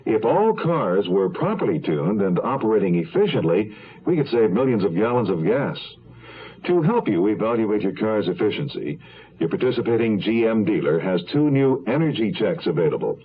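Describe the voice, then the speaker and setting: A male announcer delivers a scripted seventies radio commercial. His voice is fairly low with lots of movement in the pitch. The audio is narrow, like a phone line. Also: big pitch swings, normal speed. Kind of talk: 1970s scripted radio advertisement. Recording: thin, phone-like old radio broadcast.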